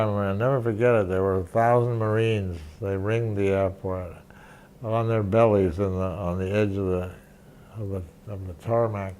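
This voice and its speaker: An older man, a veteran journalist, recounting memories in an interview, halting and restarting often. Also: fairly low pitch, a little mellow. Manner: halting, many restarts and fillers. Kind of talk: reminiscing interview.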